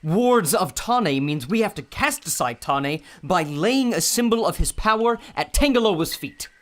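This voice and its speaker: A man's voice: sits high, lively intonation, measured pace, a bit bright. An actor playing a nervous, kidnapped male scientist who stammers anxiously.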